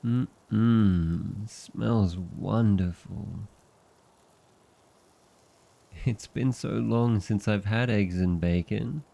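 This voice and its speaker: A man with an Australian accent playing an affectionate, drowsy vampire husband in an intimate ASMR roleplay, murmuring softly and tenderly. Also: extremely slow, heavily acted. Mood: content, tender. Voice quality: low, gravelly, husky. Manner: languid, soft, tender murmuring.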